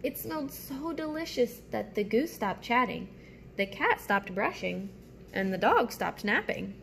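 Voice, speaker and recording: A woman reads a picture book aloud to young children in a slightly high voice with a clearly creaky edge. She phrases things simply and deliberately and turns to her listeners with asides. There is faint noise behind her. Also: big pitch swings, fairly bright tone.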